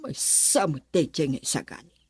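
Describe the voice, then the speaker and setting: An actress playing a stern, demanding middle-aged aunt in a radio drama. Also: female voice, low-pitched, sing-song, moderate pace, darkish tone, breathy edge, no room sound, full character voice.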